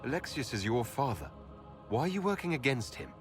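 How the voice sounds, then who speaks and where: An actor playing a guarded, questioning leader and hero in a video game. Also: male voice, mid-range voice, very animated, fairly quick, soft background music, close to an everyday voice. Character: questioning, wary male elf leader and hero. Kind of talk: video game dialogue acting.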